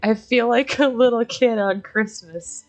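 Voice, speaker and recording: A woman's voice: fairly high pitch, fairly even intonation, unhurried, music in the background, poor audio. A woman chatting informally and casually while playing a game on a livestream.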